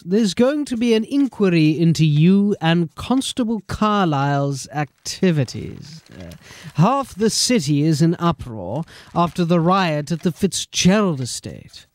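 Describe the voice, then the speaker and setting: An actor playing a stern, exasperated police captain in a fantasy audio drama, sardonic, clipped and authoritative. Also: male voice, a little high, big pitch swings, takes it slowly, no room sound. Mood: brisk displeasure.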